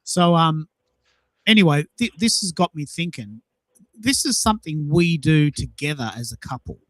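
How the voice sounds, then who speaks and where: A man's voice: fairly high pitch, expressive, normal speed, a bit bright, no room sound, poor audio. A man hosting a casual audio chat, telling personal anecdotes in an emphatic way and repeating himself for effect. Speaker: host of a social audio show.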